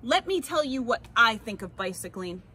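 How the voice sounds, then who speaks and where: Woman as a declamatory women's rights campaigner, animated. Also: on the high side, moderate pace, slight background noise. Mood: proud, resolute. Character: rousing, resolute women's rights campaigner proclaiming her views.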